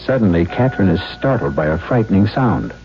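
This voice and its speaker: A man narrating a 1970s radio mystery drama in a measured, portentous manner, as a grave storyteller. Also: deep voice, big pitch swings. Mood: ominous, dramatic. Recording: dull 1970s radio broadcast, music behind.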